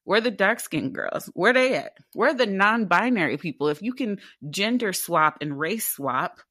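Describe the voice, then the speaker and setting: A woman in her twenties with a deep alto voice, talking candidly as a theatre actor in a casual interview, animated and full of rhetorical questions. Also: normal speed, dry recording, clean studio sound. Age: 20s.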